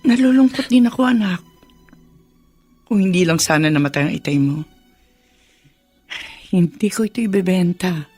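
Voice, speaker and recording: A woman's voice: fairly low pitch, normal speed, long pauses, darkish tone, breathy edge, soft background music. An actress playing a middle-aged widowed mother in a radio drama, sorrowful and soothing as she consoles. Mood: tender sorrow. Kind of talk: melodramatic radio drama acting.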